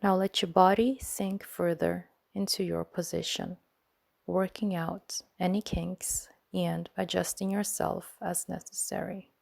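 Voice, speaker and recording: A woman leading a guided sleep meditation in a soothing, gentle manner, speaking in short phrases with unhurried pauses. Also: lowish voice, level tone, gravelly.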